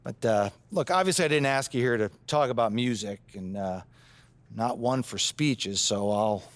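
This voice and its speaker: An actor playing a friendly, slightly awkward basketball coach in a video game, informal and encouraging. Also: male voice, medium pitch, moderate pace, close to an everyday voice. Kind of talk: video game cutscene dialogue.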